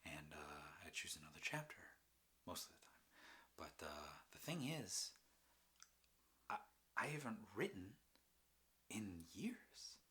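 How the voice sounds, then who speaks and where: A man performing a halting, rambling confessional monologue as an anguished, troubled man, with sighs and bitter emphasis. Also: deep voice, sharp tone, heavily acted. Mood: weary, despairing. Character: anguished, mentally ill man confessing and defending his drinking. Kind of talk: dramatic stage monologue, confessional.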